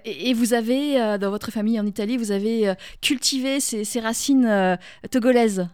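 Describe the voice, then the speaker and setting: A woman hosting a radio interview, with brisk questions and quick affirming replies. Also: on the high side, a little mellow, clear, resonant tone, no echo. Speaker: radio host.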